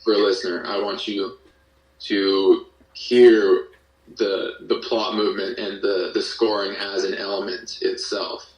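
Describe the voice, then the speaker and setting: A man chatting casually as an interview guest, explaining his creative work in a halting but enthusiastic way. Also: on the low side, flat delivery. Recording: roomy, distant mic, faint music.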